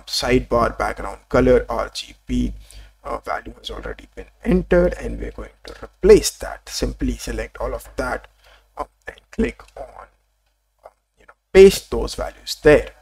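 A man presenting a screen-recorded software tutorial, talking casually with many fillers and small apologies. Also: mid-range voice, creaky.

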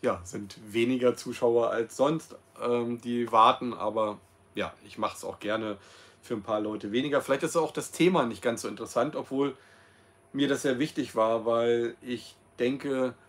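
A man in his fifties speaks at a middling pitch, talking straight to his audience in a loose, unscripted way. He hesitates often, fills gaps with ums and corrects himself mid-sentence. The sound is close and plain, like a laptop camera in a small, cosy room. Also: normal speed. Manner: rambling, many ums and self-corrections. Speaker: host of his own channel. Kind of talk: casual livestream monologue.

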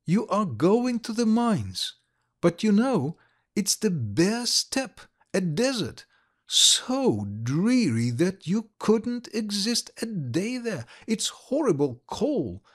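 A man's voice: high voice, big pitch swings, takes it slowly, breathy edge, voice pushed up, heavily acted. A man reading aloud, voicing an agitated young noblewoman who pleads urgently with a guest. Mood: incredulous.

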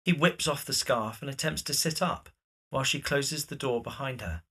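A narrator reading scripted stage directions for an audio drama in a measured, descriptive way. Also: mid-range voice, a bit bright, breathy edge.

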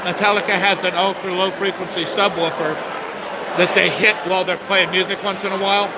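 A middle-aged man, a master distiller, telling stories expansively and with gestures at a tasting in a busy bar, filmed on a handheld phone. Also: high voice. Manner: expansive, animated storyteller with gestures. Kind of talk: casual storytelling at a tasting. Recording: noisy crowded bar. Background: loud crowd chatter.

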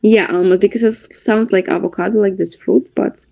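Eine Frau in ihren Zwanzigern, die gesprächig über einen Laptop-Videoanruf erzählt und über ihre eigenen Verwechslungen lacht. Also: female voice, medium pitch, fairly even intonation, moderate pace, gravelly, a little mellow. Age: 20s. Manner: chatty, laughs at her own mix-ups. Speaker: video chat stranger. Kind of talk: casual chat. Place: room indoors.

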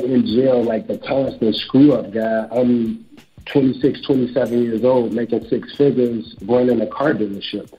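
A man in his thirties from Chicago, an author and real estate broker, speaking candidly and reflectively in a casual interview. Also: mid-range voice. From Chicago, USA. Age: 30s. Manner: candid, reflective, speeds up when quoting himself. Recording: dull lo-fi audio with faint music.

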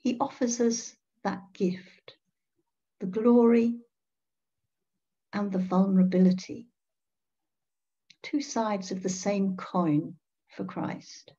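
Older lady doing a thoughtful sermon, slow with lots of pauses, bit muffled like a video call. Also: medium pitch, fairly even intonation, warm tone. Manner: measured, with pauses and rhetorical questions. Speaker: priest preaching. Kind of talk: reflective sermon. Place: indoor room at home. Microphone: computer mic on a video call.

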